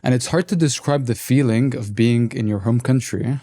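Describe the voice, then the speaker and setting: A man in his twenties from Lebanon, a podcast guest telling his story in a relaxed, candid, reflective way. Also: medium pitch, normal speed. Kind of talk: casual interview talk.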